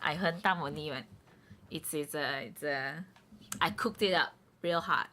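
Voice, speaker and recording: Low-voiced woman chatting on a game stream. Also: measured pace, sharp tone. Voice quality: chatty, self-mocking. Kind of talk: casual game stream commentary. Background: game music.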